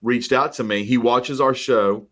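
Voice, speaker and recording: A middle-aged man co-hosting a mortgage podcast, talking in an energetic, emphatic storytelling way. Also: medium pitch, a little slow, slight background noise. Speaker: podcast co-host, mortgage professional. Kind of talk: casual chat.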